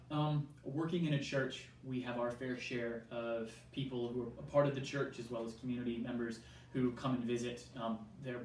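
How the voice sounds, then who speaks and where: A man's voice: medium pitch, darkish tone. American man, echoey distant recording. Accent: American, softened toward New Zealand. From Southern California. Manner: measured, frequent ums, quotes others with animation. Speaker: interviewee, church worker. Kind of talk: conversational interview answers. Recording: echoey room, mic at a distance, faint hiss.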